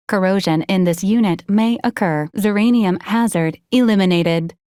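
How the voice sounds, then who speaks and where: A woman acts out a female robot companion from a video game, polite and utterly literal. Her delivery is formal, measured and deadpan, at a medium pitch. The recording is close and dry. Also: dry recording, close to an everyday voice. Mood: calm warning. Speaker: robot assistant advising a commander. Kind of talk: scripted video game character lines.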